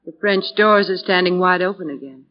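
A woman's voice: on the low side, fairly quick, close to an everyday voice. An actress playing the anxious, loyal female companion of a sleuth in a 1940s radio mystery, with a nervous, questioning, theatrical delivery. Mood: concerned. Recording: muffled, reverberant old radio recording.

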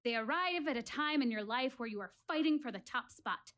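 An actress playing a reflective, wistful woman who quizzes her audience about life in a deliberate, expressive theatrical monologue, speaking as if confiding in them. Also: sits high. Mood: wry, spirited. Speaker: actress in a one-woman show.

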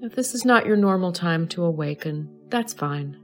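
A woman with a slightly low voice leads a guided meditation. She speaks in short, soothing, measured phrases with pauses between them, over soft background music. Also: lively intonation. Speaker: astrology advisor leading a guided meditation. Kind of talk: slow guided meditation narration.

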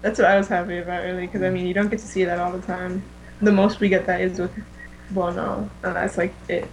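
A woman's voice: on the low side, flat delivery, fast, a little hiss or hum, low-quality recording. A woman co-hosting a podcast in a casual, conversational way. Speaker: podcast co-host. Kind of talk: casual chat.

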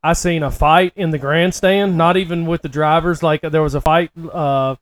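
A man's voice: a little high, fairly even intonation, moderate pace, dry recording. A man, a podcast co-host telling stories in a casual, folksy, emphatic way. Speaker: podcast co-host, racing personality. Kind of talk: casual chat, animated storytelling.

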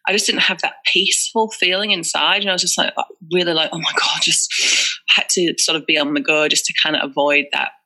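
Guest voice: Northeast English woman, forties, chatty, sharp bright tone. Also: deep voice, steady tone, a little fast, hardly any pauses, rough recording. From northeast England. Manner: chatty and candid, many fillers and restarts. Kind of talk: casual conversational interview.

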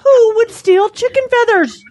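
A woman voicing a hot-tempered, sarcastic hen in an animal audio drama, with exasperated, drawn-out delivery. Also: very high voice, darkish tone, slight background noise. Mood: sarcastic, indignant.

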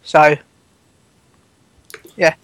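A man's voice: extremely slow, a bit bright, dry recording. A man in his twenties co-hosting a gaming podcast, rambling and restarting his sentences. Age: 20s. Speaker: podcast co-host. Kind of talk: casual gaming podcast chat.

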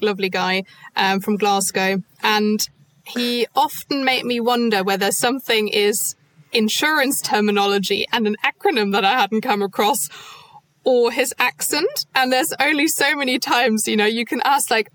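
A woman consultant speaking as a podcast guest, measured and thoughtful, with frequent soft fillers. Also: on the high side. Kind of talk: podcast interview.

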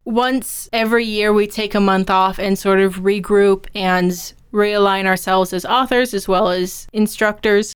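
A woman's voice: mid-range voice, steady tone, measured pace, few pauses, smooth. A woman co-hosting a podcast on writing, explaining her opinions conversationally as an author and instructor. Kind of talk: conversational craft discussion.

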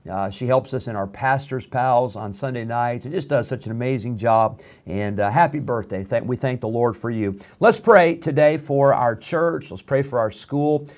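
A man's voice: mid-range voice, moderate pace, few pauses, a little mellow. A man, a pastor hosting his church's radio broadcast, making friendly, rambling announcements with many fillers. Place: church studio room.

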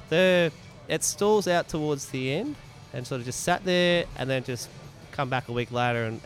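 A man of medium pitch explains things casually as a guest on a podcast. He is informal and repeats phrases to make a point, with faint music behind him. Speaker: podcast guest, mead maker. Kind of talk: casual interview chat.

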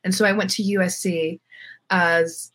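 A woman's voice: lowish voice, flat delivery, moderate pace, a bit bright. A young adult woman from Florida with an American accent, talking casually and candidly as an interview guest. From Florida, United States. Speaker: guest, filmmaker.

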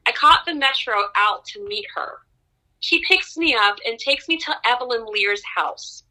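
A young adult woman, an opera singer, telling stories from her career in an interview with lively laughs and excited rises in pitch. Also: measured pace, rough recording. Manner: animated storyteller, laughs often, pitch jumps up in excitement. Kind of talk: conversational interview storytelling.

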